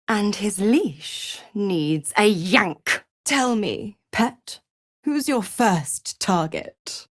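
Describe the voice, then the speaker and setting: Seductive she-devil for a game, smug and cruel, slow drawl, super swoopy delivery. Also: medium pitch, no room sound, clean studio sound. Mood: sly, menacing. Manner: theatrical, drawling, teasing and condescending. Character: seductive, mocking, scheming female devil, smugly cruel patron. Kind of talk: video game character acting.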